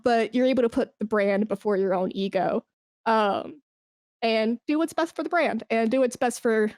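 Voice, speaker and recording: A woman's voice: on the high side, no echo, studio quality. A woman chatting casually as an interview guest, enthusiastic and emphatic about her work. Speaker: podcast guest, esports brand manager.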